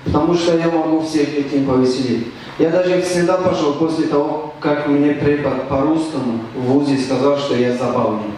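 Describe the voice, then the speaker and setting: A young man from Tajikistan, performing deadpan stand-up with hesitant restarts. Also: fairly high pitch, recorded from a distance. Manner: deadpan, halting with restarts and fillers. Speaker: stand-up comedian. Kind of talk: stand-up comedy set. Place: stage in a club. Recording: echoey room recorded from afar, faint noise.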